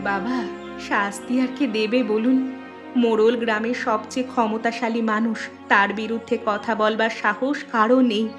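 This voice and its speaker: A woman's voice: on the high side, steady tone, moderate pace, music in the background, natural voice. A woman voicing a poor, frightened young village woman in a cartoon, with pleading, worried speech. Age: young adult. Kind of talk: cartoon voice acting.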